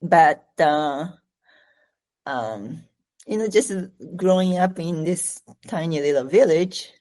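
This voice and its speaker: A middle-aged Japanese woman with a Japanese accent, answering interview questions in a slow, halting way with many fillers. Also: sits low, animated, mellow. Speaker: guest.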